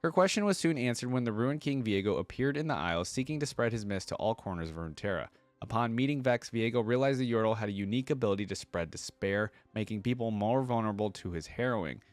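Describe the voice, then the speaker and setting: A man with a fairly low voice hosts a reaction channel. He moves between reading aloud and casual commentary, thinking out loud in an informal way. The sound is dry, with very little room in it. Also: lively intonation, fast, few pauses, dry recording.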